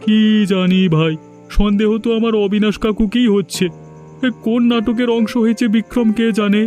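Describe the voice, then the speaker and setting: Man acting a high-voiced indignant young villager. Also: fairly even intonation, moderate pace, a little mellow, soft background music, natural voice. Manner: emphatic, indignant gossip. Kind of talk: cartoon voice acting.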